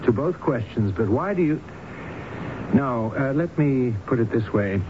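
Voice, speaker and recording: An actor playing a calm, probing doctor in a radio drama, questioning in a measured, professional way. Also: male voice, mid-range voice, lightly acted. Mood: measured, cautious. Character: calm, probing male doctor hypnotizing his patient. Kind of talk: 1970s radio drama acting. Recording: dull, very noisy old radio broadcast.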